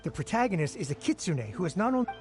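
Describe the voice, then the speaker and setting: Earnest, higher-voiced male bookworm. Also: very animated, racing. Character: earnest male light novel reader. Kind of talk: video game voice acting. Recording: background music under the voice.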